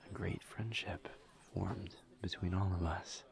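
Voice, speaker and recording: A man playing a gentle, guarded incubus in an intimate audio roleplay, murmuring breathily and haltingly with careful pauses. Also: fairly even intonation. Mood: tender, earnest. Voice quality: breathy, creaky, low murmur. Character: gentle, guarded male incubus demon, earnest and reserved. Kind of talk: intimate roleplay audio drama, partly whispered.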